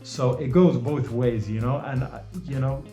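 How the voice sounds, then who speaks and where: Mellow-voiced man. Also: mid-range voice, fairly even intonation, a little slow, reverberant, soft background music. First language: Norwegian. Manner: loose and repetitive, full of "you know" and restarts. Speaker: host. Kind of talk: casual advice monologue.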